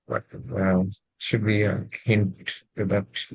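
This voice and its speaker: Gravelly male quiz contestant, close and dry. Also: lowish voice, level tone, slow pace, no echo, low-quality recording. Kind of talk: short spoken quiz answers and reactions.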